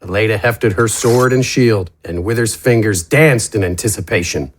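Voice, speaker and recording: A man's voice: lowish voice, moderate pace, slightly rough. A man narrating a fantasy audio drama in a scripted, dramatic storytelling style.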